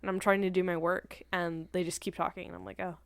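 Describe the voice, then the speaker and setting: A woman's voice: fairly low pitch, level tone, fast, vocal fry, no room sound. A young woman co-hosting a casual podcast, laughing often and sounding a little nasal from a cold. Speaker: podcast co-host. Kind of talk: casual chat.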